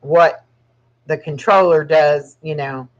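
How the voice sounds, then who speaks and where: A woman's voice: deep voice, steady tone, a little slow. Chatty woman in her sixties. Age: 60s. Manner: chatty, rambling, laughs often. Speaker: channel host. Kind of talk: casual live chat. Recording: dull lo-fi sound.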